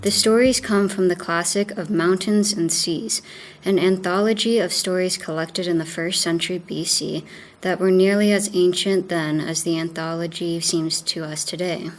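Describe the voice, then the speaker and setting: A woman reading a creation myth aloud in a careful, measured way. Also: fairly low pitch, fairly even intonation, low-quality recording. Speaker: student reader.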